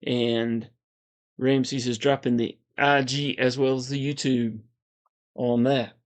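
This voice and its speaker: A man with a calm, soothing voice, hosting a casual livestream, reading viewer comments in a relaxed, meandering way. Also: medium pitch, slow. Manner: relaxed, meandering, frequent fillers and soft chuckles. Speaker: livestream host.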